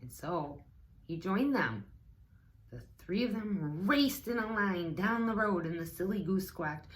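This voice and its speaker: Slow, lively American woman reading aloud. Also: lowish voice. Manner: expressive, pausing to talk to young listeners. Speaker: teaching assistant reading to children. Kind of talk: read-aloud children's story time.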